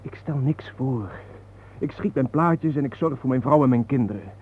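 A man playing an ordinary family man in a radio play, troubled and defensive. His mid-pitched voice is halting and earnest, on a tinny recording with faint hiss. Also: natural voice. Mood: despairing. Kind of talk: naturalistic radio drama acting. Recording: tinny narrow-band old radio recording, hissy.

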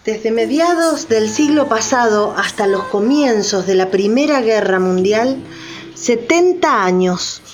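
Woman narrating a radio play, bit high voice, scripted, faint music behind. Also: lively intonation, a little slow.

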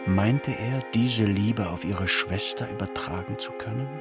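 A man narrating a literary radio drama in a measured, solemn manner. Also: fairly low pitch, loud music behind the voice. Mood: grave, measured.

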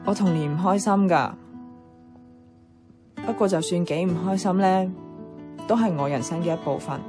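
Actress as a nostalgic stubborn hong kong woman in a radio drama, lowish voice, guitar music behind. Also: normal speed, natural voice. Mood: wistful. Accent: Hong Kong Cantonese. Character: nostalgic, stubborn woman attached to childhood housing estate. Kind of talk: Cantonese radio drama dialogue.

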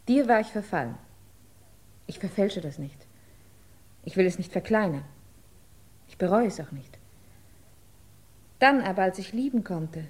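An actress playing an anguished woman in a 1950s radio drama, speaking in emotive, deliberate phrases. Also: mid-range voice, a little mellow, breathy edge. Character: anguished woman confessing lost love, turning desperate.

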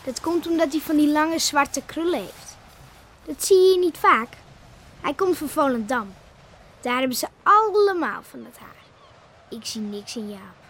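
A woman playing a lively, outspoken young village schoolgirl in a Dutch radio play. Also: high-pitched, a little slow, a little hiss or hum, heavily acted. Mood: chatty, teasing.

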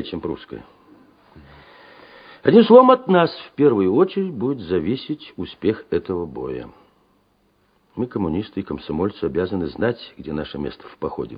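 An actor playing a resolute, commanding army major in a Soviet radio drama, speaking in an emphatic, oratorical way with a husky voice. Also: male voice, low-pitched, huge pitch swings, moderate pace, long pauses, exaggerated voice. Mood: rousing, firm. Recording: dull, lo-fi old radio recording.

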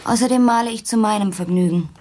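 A woman's voice: mid-range voice, quick pace, dark tone, close to an everyday voice. An actress playing a melancholy, wry woman who paints, in naturalistic radio play dialogue with a poetic, wistful way of talking. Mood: dry, wry. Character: melancholy, chilly woman who paints, drinking over checkers.

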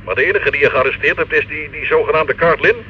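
An impatient police chief in a radio play. His highish voice is quick and animated, curt and exasperated. The recording is dull and old. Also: breathy edge. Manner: curt, questioning. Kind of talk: radio drama acting.

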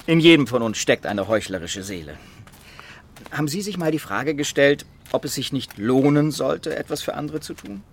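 An actor playing a smug, well-off environmental activist in a radio drama, talking in condescending rhetorical flourishes. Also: male voice, mid-range voice, expressive, moderate pace, vocal fry, slightly rough. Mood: smug, amused. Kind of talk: naturalistic radio drama dialogue.

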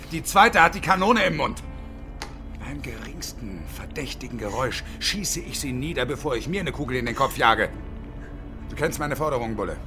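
An actor playing a bitter, desperate hostage-taking engineer in his fifties in a radio drama, with sardonic, menacing, deliberate phrasing. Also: fairly high pitch, natural voice. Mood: cold threat. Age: middle-aged.

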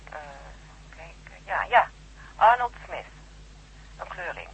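A polite but flustered female hospital nurse on a phone in a radio play. The voice is bright and lively in pitch, hesitant and apologetic. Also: slow, gravelly, slight background noise, exaggerated voice, poor audio. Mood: flustered, helpful. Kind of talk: radio drama acting.

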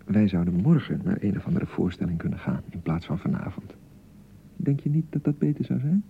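An actor with a Dutch accent playing a young newlywed husband in a radio drama, placating and easily exasperated, with a hesitant way of talking. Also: male voice, low voice, big pitch swings, fairly quick, vocal fry, husky, narrow, tinny audio, full character voice. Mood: tentative, placating. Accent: Dutch (Netherlands). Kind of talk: naturalistic radio drama acting.

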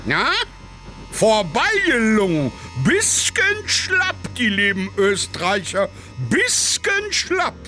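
A jovial, pompous Prussian colonel for a satirical radio play. His Prussian-accented voice is forceful and pitched high, swinging widely as he exclaims and talks down to his company. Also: huge pitch swings, slow, soft background music, exaggerated voice. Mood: mocking. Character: blustering, jovial, condescending Prussian colonel, middle-aged man.

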